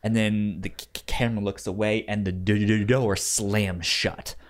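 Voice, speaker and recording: A man co-hosting a podcast, talking casually about a film in a halting way, often repeating syllables as he searches for words. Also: on the low side, little pitch movement. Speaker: podcast co-host.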